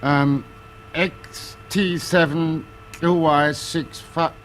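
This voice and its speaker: An actor playing an easygoing, wry spaceship navigator with a casual manner in 1950s radio science fiction. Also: male voice, a little high, steady tone, drawn-out words, fairly bright tone. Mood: attentive, matter-of-fact. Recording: noisy vintage 1950s radio recording.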